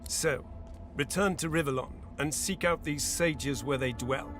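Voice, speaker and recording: An actor playing a solemn, lofty god who guides like a mentor in a fantasy video game, speaking gravely and grandly. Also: male voice, on the high side, a little slow, a bit bright. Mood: solemn, exhorting. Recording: orchestral music behind the voice. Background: music.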